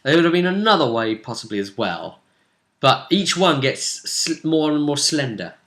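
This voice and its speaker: Animated, bright-voiced man recapping a game. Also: on the high side, normal speed. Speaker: game master recapping a tabletop role-play session. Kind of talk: casual recap chat.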